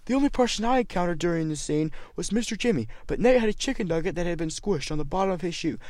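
Man playing an earnest amateur detective, high raised voice, excitable, emphatic, determined, story character. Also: fast, dry recording. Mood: suspicious, puzzled. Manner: emphatic, questioning.

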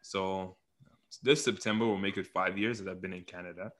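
A man's voice: deep voice, fairly even intonation, a little fast, fairly bright tone. A young man from Nigeria, telling his story casually as an interview guest.

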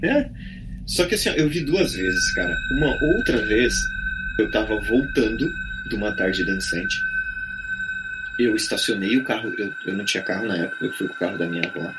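A man's voice: lowish voice, expressive, brisk, over loud music, rough recording. A man with a Brazilian accent casually telling a personal eerie story as a podcast guest, full of slangy interjections.

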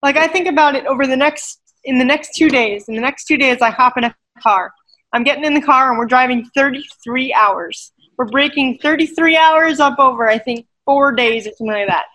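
A woman who works as an adaptive fitness coach, talking in a casual interview with animated emphasis and a habit of checking in with "right?". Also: sits high, fairly quick, poor audio.

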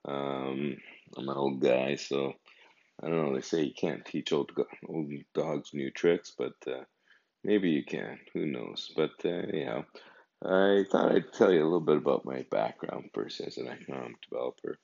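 A man's voice: rumbling low, moderate pace, gravelly, a little mellow. A man in his fifties hosting his own podcast, talking in a meandering, conversational way. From Canada. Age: 50s. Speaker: podcast host. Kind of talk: casual podcast monologue. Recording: dull lo-fi recording.

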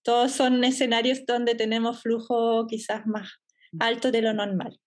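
Podcast guest: German woman, thirties, fairly high voice, easygoing and explanatory. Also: unhurried. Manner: relaxed, explanatory, laughs easily. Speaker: entrepreneur guest. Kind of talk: podcast interview.

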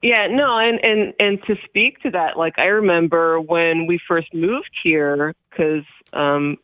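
A woman's voice: lowish voice, takes it slowly, no room sound. A woman in her forties whose first language is Hebrew, speaking earnestly as a political candidate and guest, repeating phrases for emphasis. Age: 40s. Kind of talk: phone interview on radio. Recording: phone line.

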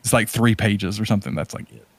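Lowish male voice, informal podcast cohost chatting, very dry sound. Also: fast talker, no echo. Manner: informal, conversational. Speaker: podcast co-host. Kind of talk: casual chat.

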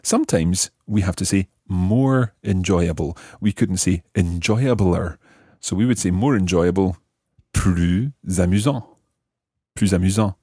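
Soft-toned, low-voiced male language teacher. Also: husky, no echo, studio quality. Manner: didactic, carefully enunciates and translates. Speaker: language teacher, podcast host. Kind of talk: explanatory French grammar lesson, phrase by phrase.

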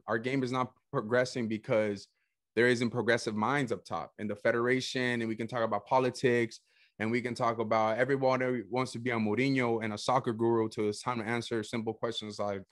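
A young man from El Salvador hosting a casual podcast chat, energetic and saying "bro" a lot. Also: medium pitch, dry recording, clean studio sound. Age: young adult. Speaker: podcast host.

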